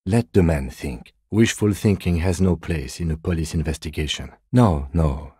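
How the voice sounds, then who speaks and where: A man playing a composed, by-the-book police detective in a video game, speaking in a measured, reserved, matter-of-fact way. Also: very deep voice, mellow, slightly rough, dry recording, clean studio sound, heavily acted. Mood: composed, dry. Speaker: voice actor. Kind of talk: video game character dialogue.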